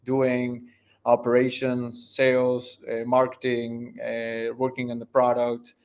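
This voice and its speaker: A man's voice: medium pitch, completely flat delivery, slow pace, a little mellow. A man, answering interview questions as a tech founder in a thoughtful way, searching for words as he goes. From Argentina. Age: 30s.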